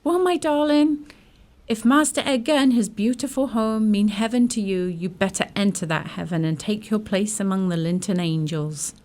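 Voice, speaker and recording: A woman's voice: mid-range voice, moderate pace, darkish tone, lightly acted. A woman playing a concerned, motherly old household servant who tells her story in a radio drama. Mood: probing, gentle.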